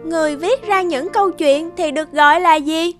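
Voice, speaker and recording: A very high, bright girl's voice, plays a caring elder sister in a children's cartoon. She is bossy and instructive, like a child playing teacher. Faint music sits behind her. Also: moderate pace. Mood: playfully instructive.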